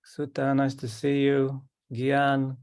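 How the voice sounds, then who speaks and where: A man hosting an online meeting, welcoming each participant calmly and often repeating his words. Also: medium pitch, slow pace, clean tone. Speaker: host. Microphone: video call.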